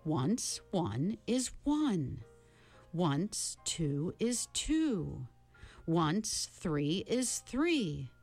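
A woman reading an audiobook, voicing a sinister, bland man who mocks children and chants numbers slowly. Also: on the low side, big pitch swings, fairly bright tone, soft background music. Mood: chanting. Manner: slow, drawn-out, chanting.

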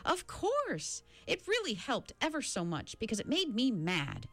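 Young storybook heroine, read by a woman: earnest, fierce, expressive, recorded dry. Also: a little high, measured pace, no room sound, soft background music, voice pushed up, exaggerated voice. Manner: earnest, emphatic.

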